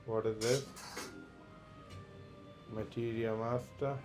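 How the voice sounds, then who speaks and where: A man streaming a game, reading the quest text aloud haltingly and muttering "okay" between lines. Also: mid-range voice, fairly even intonation. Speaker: livestream gamer. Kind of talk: casual let's-play commentary. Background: faint game music.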